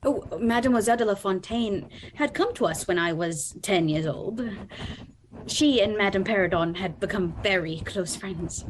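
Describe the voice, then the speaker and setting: An actress playing a sheltered, wistful young gentlewoman, telling her story formally in a radio drama. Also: medium pitch, normal speed, slight background noise, natural voice, low-quality recording. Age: young adult. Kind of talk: radio drama narration and dialogue.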